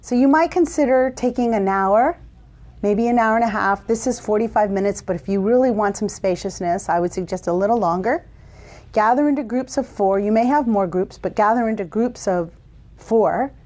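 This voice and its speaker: A woman facilitator giving instructions to camera from an office, speaking in a warm, encouraging and deliberate way. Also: medium pitch. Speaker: workshop facilitator.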